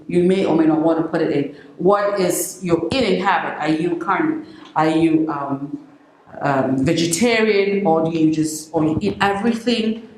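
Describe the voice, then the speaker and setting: Female English teacher for home learners, slightly low pitch, didactic and question-led, heavily reverberant room. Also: a little mellow. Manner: didactic, repeats phrases and asks rhetorical questions. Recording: very echoey room with faint noise.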